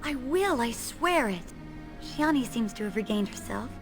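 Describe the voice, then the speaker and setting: A woman's voice: fairly high pitch, lively intonation, measured pace, music in the background. A woman playing a grateful, earnest young elf bride in a fantasy video game.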